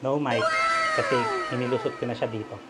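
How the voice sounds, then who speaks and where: A Filipino man with a mid-pitched voice walks through a hands-on task step by step. He talks informally, in short casual remarks as he works, with clear ambient noise around him. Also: steady tone, fairly quick. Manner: informal, talking along while working with his hands. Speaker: vlogger giving a DIY demonstration. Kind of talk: casual step-by-step tutorial talk.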